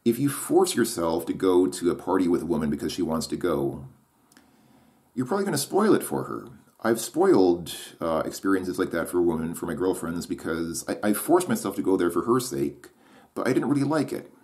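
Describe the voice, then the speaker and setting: A man with a deep voice thinking aloud in a solo monologue. He hesitates, restarts his sentences and questions himself as he goes. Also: very animated, a little fast, a little mellow.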